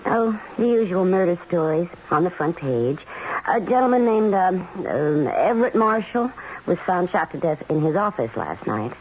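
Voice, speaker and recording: An actress playing a light, teasing American wife in a radio drama, with a playful, coaxing manner. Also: female voice, lowish voice, very animated, normal speed, a little mellow. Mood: matter-of-fact. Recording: dull vintage radio broadcast, faint noise.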